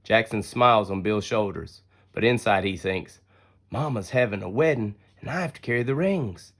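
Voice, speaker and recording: A man reading a children's picture book aloud as an expressive, deliberate storyteller. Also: on the low side. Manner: deliberate storytelling with acted-out dialogue. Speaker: story reader.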